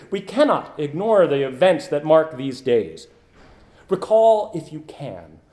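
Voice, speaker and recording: A man delivers theatrical political oratory in an old-fashioned style. His voice sits high and moves widely up and down. He declaims with passion, using emphatic swells and deliberate dramatic pauses. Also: a little mellow. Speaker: orator re-creating an 1890s political speech.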